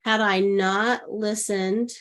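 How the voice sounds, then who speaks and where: A woman's voice: mid-range voice, fairly even intonation, slow pace, a bit bright. A woman around sixty, a guest coach, telling a personal story in a podcast interview and acting out the voices of people she quotes. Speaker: guest, intuitive leadership coach.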